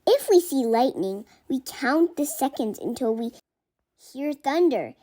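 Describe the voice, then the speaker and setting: An eager young girl from a storybook, acted by a woman, earnest and keen on science. The voice is fairly high and swings up and down expressively. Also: animated, measured pace, warm tone. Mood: explaining.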